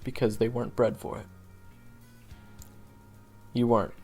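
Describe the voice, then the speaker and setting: A man playing a seasoned monster hunter and blunt mentor to a rookie in an audio roleplay, speaking conversationally with halting, drawn-out pauses. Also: medium pitch, little pitch movement, creaky, mellow, slightly rough, soft background music. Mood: grave, discouraging. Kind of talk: audio roleplay acting addressed to the listener.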